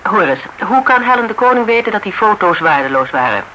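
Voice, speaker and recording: An actress playing a shrewd, inquisitive boutique owner in a Dutch radio drama, with a clipped, wry, probing way of talking. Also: female voice, medium pitch, big pitch swings, fairly quick, sharp tone. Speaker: shop owner. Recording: noisy old radio drama recording.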